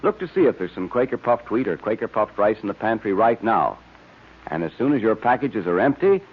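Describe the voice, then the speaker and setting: An American male announcer from old-time radio sells with emphatic cheer. His voice is rough-edged and swoops with animation, heard through a thin, tinny recording. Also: mid-range voice. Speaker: announcer and sponsor pitchman. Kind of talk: 1930s-40s radio announcing and advertising.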